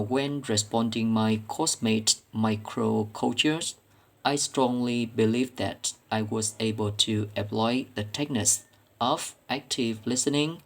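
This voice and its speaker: Slow-paced Vietnamese-accented man presenting. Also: medium pitch. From Vietnam, Ho Chi Minh City. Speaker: student presenting coursework. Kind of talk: prepared reflection presentation.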